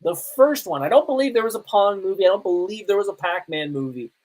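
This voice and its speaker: A woman's voice: mid-range voice, animated, fast, darkish tone, poor audio. A woman hosting a live podcast with casual, emphatic, opinionated commentary in a conversational way. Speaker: podcast host.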